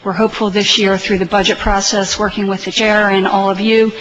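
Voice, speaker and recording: Woman testifying, even tone, dull audio. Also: medium pitch, brisk. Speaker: hospital industry advocate testifying. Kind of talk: prepared testimony. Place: legislative committee hearing room. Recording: dull hearing audio, faint noise.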